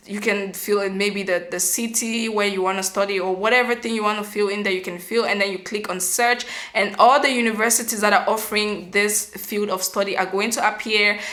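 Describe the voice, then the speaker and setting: A young woman from Cameroon, giving earnest step-by-step advice in a vlog, often pausing on "um" and restarting. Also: medium pitch, a bit bright. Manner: earnest, explanatory, frequent um fillers and restarts. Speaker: vlogger.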